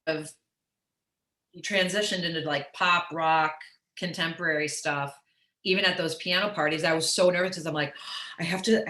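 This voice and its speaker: A middle-aged woman with a raspy alto voice, chatting casually and candidly as an interview guest, swearing freely and peppering her talk with filler phrases. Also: fairly low pitch. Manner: animated, profane, peppers talk with "like" and "you know". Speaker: interview guest, musician and comedian. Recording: roomy echo.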